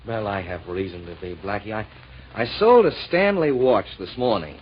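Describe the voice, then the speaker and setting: A man's voice: on the low side, animated, moderate pace, slightly rough. A man playing an earnest, troubled shop owner in an old-time radio drama. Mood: upset, earnest. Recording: muffled vintage radio recording.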